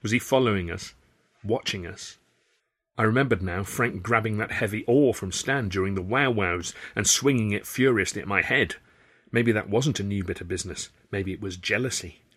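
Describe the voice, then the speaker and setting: Low-voiced man narrating a novel. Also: moderate pace, a bit bright, husky. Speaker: author reading his novel aloud. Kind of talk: first-person audiobook narration.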